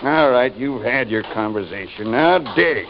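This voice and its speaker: An actor playing a brutal, bullying prison work-gang boss who barks orders and taunts, in an old-time radio western. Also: male voice, medium pitch, animated, unhurried. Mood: taunting, menacing. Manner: barking orders, menacing taunts. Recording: muffled, noisy old radio recording.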